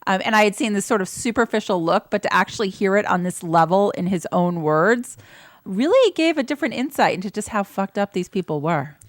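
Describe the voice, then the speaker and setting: A woman's voice: mid-range voice, lively intonation, fairly quick, no room sound, clean studio sound. A woman chatting casually as a podcast panelist, blunt and emphatic, stretching words for stress. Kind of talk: casual opinionated review chat.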